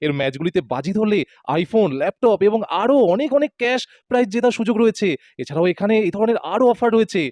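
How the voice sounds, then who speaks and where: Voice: medium pitch, mellow, no echo. A presenter reading a scripted advertisement. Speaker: promo presenter.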